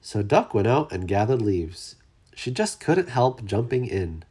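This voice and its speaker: A storyteller reading a children's story aloud with expressive, dramatic emphasis. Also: medium pitch, measured pace, breathy edge. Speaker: storybook reader.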